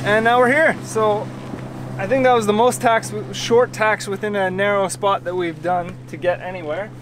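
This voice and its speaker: A relaxed, chatty man with a fairly high voice talks to a camera held at arm's length. He is on a sailboat outdoors, and faint wind and water noise sits under his voice. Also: normal speed. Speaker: sailing vlogger. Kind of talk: casual vlog narration.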